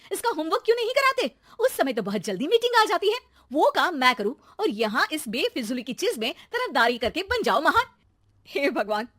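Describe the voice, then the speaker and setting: Woman acting a shrill, exasperated scolding mother. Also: heavily acted. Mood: angry. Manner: shrill shouting, sarcastic nagging. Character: exasperated, scolding Indian mother and housewife, sarcastic. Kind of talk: animated cartoon story voice acting.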